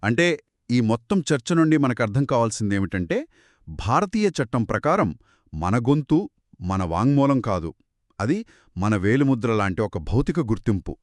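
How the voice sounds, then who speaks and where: A man's voice: mid-range voice, sing-song, moderate pace, long pauses, no room sound. A man hosting a scripted explainer discussion, asking questions and recapping points in an inquisitive way. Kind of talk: scripted two-person legal explainer discussion.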